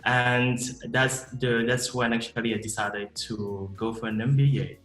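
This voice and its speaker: A man in his thirties gives interview answers in a steady, explanatory way, often restarting his sentences. His tinged carries through an echoey room. Faint music sits in the background. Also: mid-range voice. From Cameroon. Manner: measured, explanatory, frequent restarts. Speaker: MBA student guest.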